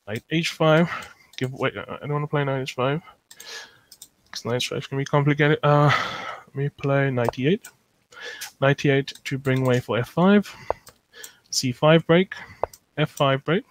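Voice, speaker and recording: A man's voice: medium pitch, measured pace, slight background noise. South African man lecturing on chess. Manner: thinking aloud with many fillers, reading chat. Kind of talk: live online chess lecture.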